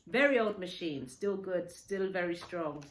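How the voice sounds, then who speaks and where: A woman voicing a gentle elderly Japanese man from a children's storybook, speaking in short phrases of broken English. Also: fairly low pitch, measured pace, reverberant, a little hiss or hum, natural voice. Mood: proud, amused.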